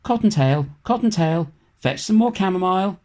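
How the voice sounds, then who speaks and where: A man's voice: high voice, expressive, moderate pace, a little mellow, pitched above the performer's own voice. A man voicing a fussing old widowed mother rabbit from a storybook, calling out plaintively. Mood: hurt, calling.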